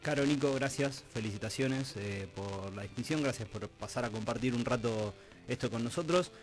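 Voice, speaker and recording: Man, Argentine Rioplatense accent, radio host, conversational, reflective phrasing, mid-range pitch, music in the background. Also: normal speed, poor audio. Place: radio studio.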